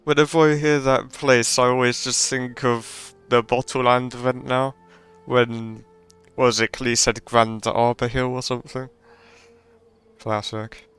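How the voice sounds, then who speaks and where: Male streamer, smooth clear mid-range voice, casual live commentary over faint game music. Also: medium pitch, flat delivery, unhurried, fairly bright tone.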